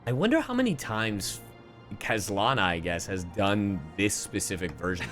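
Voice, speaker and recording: A man's voice: fairly low pitch, big pitch swings, moderate pace, fairly bright tone, faint music behind. A man streaming a game, chatting casually and reacting to the story as it plays. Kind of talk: casual live stream commentary.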